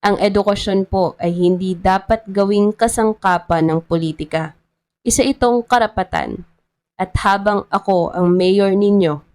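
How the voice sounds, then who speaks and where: A woman's voice: on the low side, a little mellow, close to an everyday voice. Woman doing a filipino mayor guy giving solemn formal speeches, earnest, drama story. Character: earnest male town mayor, principled public speaker.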